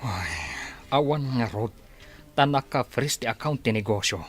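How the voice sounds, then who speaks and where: A man's voice: medium pitch, unhurried, close to an everyday voice. An actor playing a shifty, defensive and boastful male accomplice in a radio drama.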